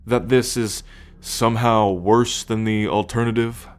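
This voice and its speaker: Casting: male actor as proud, possessive alien starship captain, fairly deep voice, formal lecturing delivery, ASMR audio drama. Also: steady tone, clean tone, natural voice. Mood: teasing, smug. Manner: measured, imperious, formal lecturing. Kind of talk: ASMR roleplay addressed to the listener.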